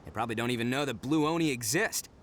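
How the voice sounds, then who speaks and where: A man's voice: mid-range voice, fast, a bit bright, a little hiss or hum, close to an everyday voice. A man voicing a boisterous, proud young male Oni gang leader in a game cutscene, given to hearty laughs. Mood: earnest. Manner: boastful, hearty laughs, earnest when serious.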